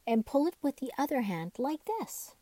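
A cheerful boy rag doll voiced by a woman from a children's storybook. He is kindly and helpful and explains things earnestly. The tone is mellow and the sound is dry. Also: high voice, sing-song, moderate pace, no echo, voice pushed up.

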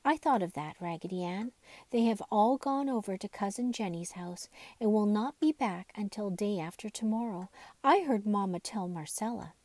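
Storybook rag doll boy played by a woman: playful, enthusiastic, patiently explanatory, mellow tone, dry sound. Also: mid-range voice, moderate pace, no echo, lightly acted. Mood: reassuring.

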